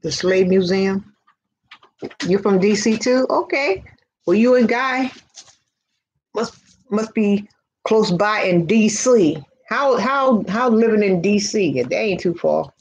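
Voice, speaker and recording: A woman's voice: mid-range voice, animated, slow pace, long pauses, slightly rough. A woman born in Virginia and raised in New York City, with a slight Southern twang, co-hosting a casual live chat. She is easygoing and chatty and reads out viewer comments. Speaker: co-host. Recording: dull lo-fi audio, faint noise.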